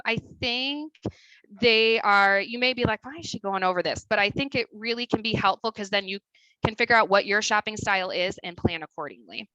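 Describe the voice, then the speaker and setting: A woman's voice: a little high, bright, forceful tone, no room sound, rough recording. A woman dietitian with a Midwestern accent, giving a chatty webinar presentation over a video call with many fillers. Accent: Midwestern American.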